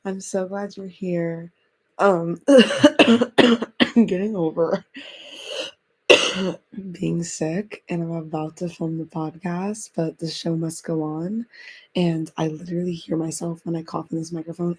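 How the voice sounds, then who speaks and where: Raspy-voiced woman hosting a podcast. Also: fairly low pitch, darkish tone. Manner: chatty, encouraging, repeats phrases. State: raspy, recovering from illness. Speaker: podcast host. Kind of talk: casual self-help podcast monologue.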